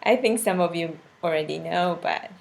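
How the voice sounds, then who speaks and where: A woman's voice: lowish voice, normal speed, vocal fry. Chatty Japanese woman on a live stream. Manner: chatty, many "you know" fillers, laughs often. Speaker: cooking channel host on a live stream. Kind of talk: casual live-stream chat with viewers.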